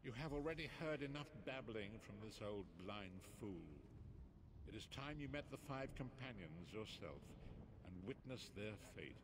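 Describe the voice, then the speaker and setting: An actor playing an old blind prophet who narrates in a grave, theatrical manner for a fantasy game. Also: male voice, lowish voice, expressive, moderate pace. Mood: grave, instructive. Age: older. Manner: solemn, theatrical storytelling. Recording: noisy game capture, ambient sound behind.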